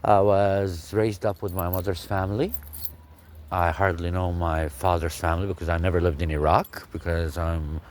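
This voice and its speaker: Low-voiced man. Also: little pitch movement, slight background noise. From Kuwait. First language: Arabic. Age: 40s. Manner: halting, with frequent pauses. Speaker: speaker telling his life story. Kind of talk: personal testimony monologue.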